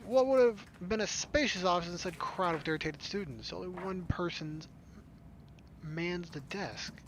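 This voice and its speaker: A woman's voice: on the low side, moderate pace, poor audio. A woman reading a visual novel aloud as a playthrough host, with flippant, casual asides. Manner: flippant, jokey. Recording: loud game music behind the voice.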